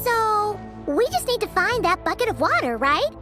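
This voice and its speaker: A woman voicing a tiny, childlike floating fairy girl, curious and blunt, with a squeaky voice in an anime game. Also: very animated, moderate pace, sharp tone, faint music behind, exaggerated voice. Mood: curious, teasing.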